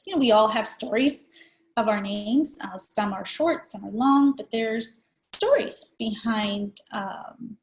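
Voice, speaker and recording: A woman's voice: a little high, animated, takes it slowly, low-quality recording. A woman in her forties from El Salvador, giving an early-education training presentation in an explanatory, personal manner.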